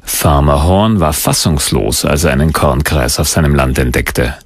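A man's voice: very deep voice, moderate pace, no echo, studio quality. A man reading scripted documentary narration as the narrator. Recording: music under the narration. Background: background music.

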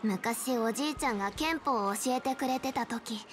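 A woman's voice: fairly high pitch, moderate pace, slight background noise, natural voice. An actress playing a proud, competitive young swordswoman in a game, speaking bluntly and boastfully. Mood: wistful.

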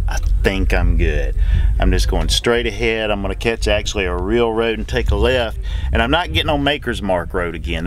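A man in his forties, a truck driver, talking excitedly to the camera through a headset mic as he works out a tight route on country roads. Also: lowish voice. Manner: excited, talks straight to camera. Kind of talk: casual vlog narration. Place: outdoors on rural roads and inside a truck cab.

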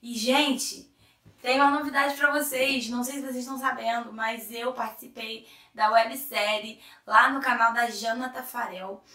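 A young Brazilian woman with a hoarse cold voice, chatting to camera as a vlogger in a chatty, self-interrupting way. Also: on the high side, fairly even intonation, normal speed, pushed and bright, recorded from a distance. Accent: Brazilian Portuguese. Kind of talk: casual chat to camera. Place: small room indoors. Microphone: camera in front at medium distance. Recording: echoey room, a little off-mic.

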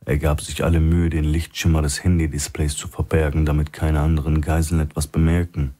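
A male audiobook narrator reads a crime thriller. His voice sits very low and has a husky, rough-edged grain. The delivery is scripted storytelling. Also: monotone, a little fast, mellow.

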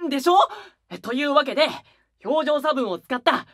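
A male actor voicing an excitable young male idol in an anime voice drama, with a gushing, playful manner, recorded on a binaural mic. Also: extremely high pitch, moderate pace, dry recording, clean studio sound. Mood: eager.

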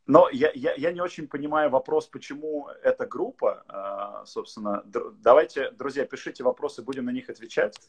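A man in his thirties hosting a casual video call interview from a room, relaxed, with many filler pauses. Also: mid-range voice, slightly rough. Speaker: live-stream host. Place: indoor room.

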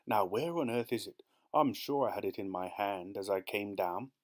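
A man playing a pompous, controlling, well-to-do husband, deliberate and commanding, in an audiobook. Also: lowish voice, natural voice. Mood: fussy. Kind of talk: audiobook character voice.

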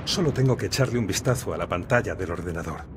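An actor with a Castilian Spanish accent playing a gruff, sardonic middle-aged ghost detective in a video game, blunt in manner. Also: male voice, sits low, expressive, quick pace, background noise. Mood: dry, focused. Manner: blunt, swearing. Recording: music under the dialogue.

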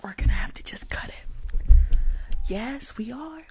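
A woman's voice: high voice, lively intonation, measured pace, warm tone. A woman playing a rude, unqualified school nurse in an ASMR roleplay, bossy and mock-reassuring, repeating herself. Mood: mock-apologetic. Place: small indoor room.

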